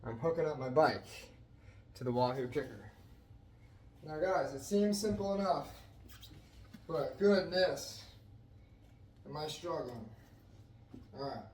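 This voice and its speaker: A man's voice: on the high side, lively intonation, slow, long pauses, darkish tone, slight background noise. A young man vlogging his workouts, chatty and self-mocking, talking straight to viewers. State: congested, clearing throat. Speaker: fitness vlogger. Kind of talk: casual vlog narration.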